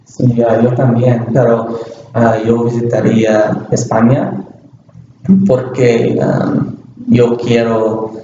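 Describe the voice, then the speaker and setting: A young university student speaking slowly and haltingly in a language he is learning, with many pauses and restarts, recorded in a small bare room. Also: medium pitch, little pitch movement, mellow, slight background noise. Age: young adult. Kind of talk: scripted class conversation in a learned language. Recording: roomy, dull webcam audio.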